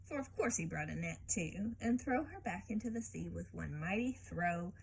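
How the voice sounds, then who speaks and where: A woman reading a picture book aloud and teaching children informally, expressive and often turning to the listener with questions. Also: fairly low pitch, moderate pace, vocal fry, slightly rough, low-quality recording.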